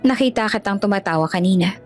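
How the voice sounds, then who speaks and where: A woman's voice: mid-range voice, fast talker, soft background music, lightly acted. A woman voicing a cold, controlled, jealous rich man in an audio love drama. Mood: jealous, stern. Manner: blunt, controlled, commanding.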